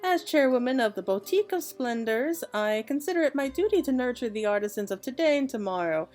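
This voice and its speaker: A woman reads as a refined merchant chairwoman in a fantasy game. She speaks formally and eloquently in a higher voice, supportive of those around her, with faint music behind. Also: expressive, a little fast, darkish tone. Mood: fond, encouraging.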